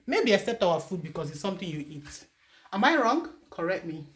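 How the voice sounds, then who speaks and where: A woman from Nigeria, talking casually to camera as a vlogger from her home, animated and full of repeated rhetorical questions. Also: fairly low pitch, brisk.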